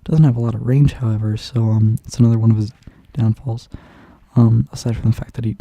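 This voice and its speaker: Man, stuffy nose, casual tournament commentator, rambling, dark mellow tone. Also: medium pitch, steady tone, a little fast, creaky, no echo. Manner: rambling, full of ums and restarts. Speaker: streamer commentating a game tournament.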